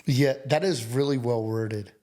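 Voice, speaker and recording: A man's voice: mid-range voice, unhurried. Upbeat man in his forties, guest. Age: 40s. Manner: upbeat, energetic, restarts and slang. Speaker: podcast guest. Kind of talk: casual interview chat.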